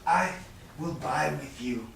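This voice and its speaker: Actor as sardonic stage moneylender, slow, toying, chuckling delivery, gravelly edge, very echoey room. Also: male voice, on the high side, fairly bright tone, full character voice. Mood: mocking. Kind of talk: staged reading of Shakespearean drama. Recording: distant echoey room with faint noise.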